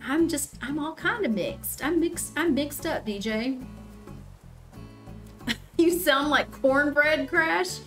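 A woman in her thirties with a Southern US accent, chatting casually on a gaming stream, reading chat aloud and often pausing with ums. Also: high voice, animated, a bit bright, soft background music. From Southern United States. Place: small room indoors. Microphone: headset.

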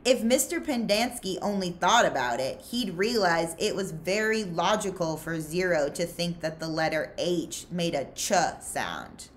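A woman reads a children's story aloud as a librarian would. Her voice sits a little low and moves up and down a lot, with slow, deliberate phrasing. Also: a little hiss or hum. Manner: expressive, deliberate. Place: small furnished room indoors.

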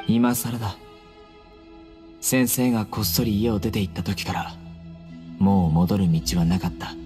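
A man playing a stern, composed young desert judge in an anime game, speaking in a measured, solemn way. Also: lowish voice, fairly even intonation, lightly acted. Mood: resolute. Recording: game music behind the voice.

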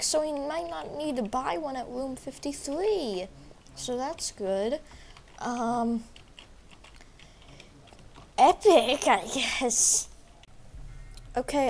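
A young woman narrates a game walkthrough while sick, her voice a little rough. She talks slowly and a bit high, with many fillers and restarts. A faint noise sits under her voice. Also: a little mellow. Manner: rambling, filler-heavy, hesitant. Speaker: gaming YouTuber. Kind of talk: casual gameplay walkthrough.

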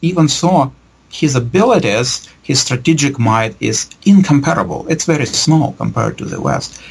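Male, middle-aged, professor type for interview answers: rough husky tone with vocal fry, measured and hesitant academic delivery. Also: medium pitch, animated. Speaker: history professor being interviewed. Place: book-lined office, indoors. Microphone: video call computer microphone.

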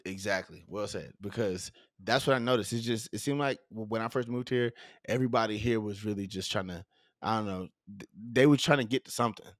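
A man's voice: lowish voice, fairly quick, no room sound. A man, hosting a casual podcast interview, talking loosely with many fillers and restarts on a studio mic. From southern United States. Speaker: podcast host. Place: home studio. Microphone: studio mic with headphones.

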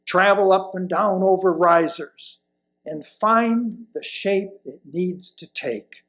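An elderly man reading a sermon from a lectern, with deliberate, emphatic phrasing and pauses. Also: high voice, a bit bright. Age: older, around 70s or 80s. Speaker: lay presenter reading a sermon. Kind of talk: sermon read from a pulpit. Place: indoors at a wooden lectern in a church hall. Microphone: lapel mic.

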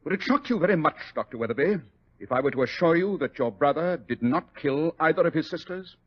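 An actor playing a cool, dryly superior detective with a British accent and clipped, ironic delivery in a 1940s radio mystery. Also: male voice, fairly high pitch, animated, brisk, breathy edge. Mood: probing, controlled. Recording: muffled old 1940s radio recording.

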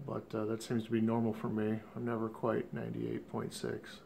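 A man in his fifties, congested and a little rough, talks in a halting, low-key home video diary, filmed on a phone at arm's length in his living room. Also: monotone, mellow. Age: 50s. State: congested, stuffed up. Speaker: vlogger. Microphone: phone held at arm's length.

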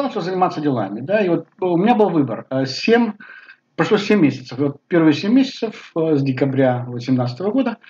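A man's voice: a little high, a little mellow. Older guy w bulgarian accent, lots of ums, dull webcam sound in living room. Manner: halting, many fillers, gestures a lot. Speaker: vlogger. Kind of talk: casual personal talk to camera. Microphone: webcam at desk. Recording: slightly dull webcam audio.

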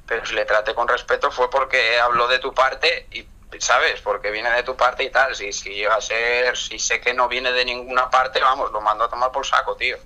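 A man's voice: mid-range voice, steady tone, moderate pace, hardly any pauses, sharp tone, low-quality recording. A man with an accent from Spain, talking indignantly and swearing in a casual, heated phone conversation. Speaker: prank call target. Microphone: heard through a phone on speaker.

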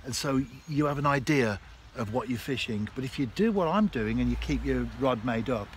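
A man in his fifties giving a casual instructional fishing vlog in a measured, explanatory way, in short phrases. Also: medium pitch, expressive. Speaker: angler and vlogger. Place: outdoors on a canal bank. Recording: clear outdoor background noise.

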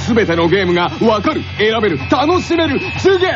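Hyped ad announcer guy, super high pitch, music bed, muffled radio. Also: very animated, fast. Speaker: commercial announcer. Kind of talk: energetic scripted advertisement.

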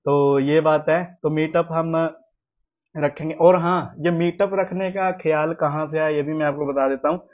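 A man's voice: on the high side, fairly even intonation, unhurried, narrow, tinny audio. A young man hosting a casual home vlog, chatting to viewers and repeating himself often. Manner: chatty, repeats himself, sprinkles English words. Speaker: vlogger host. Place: small room at home, indoors.

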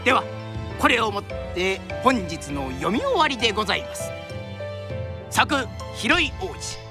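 A woman's voice: medium pitch, big pitch swings, a little slow. A woman narrating in a theatrical Japanese kodan storytelling style, with dramatic delivery and formal, polite phrasing. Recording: music behind the voice.

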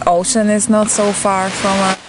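A young woman from Poland with a Polish accent, a farm intern giving brisk, matter-of-fact interview answers outdoors. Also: mid-range voice, little pitch movement, noisy background.

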